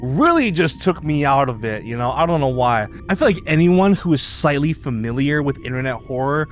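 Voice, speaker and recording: A man's voice: mid-range voice, animated, fairly quick. Casual male video commentator over music. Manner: informal, slangy, swearing. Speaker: video essayist. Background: background music.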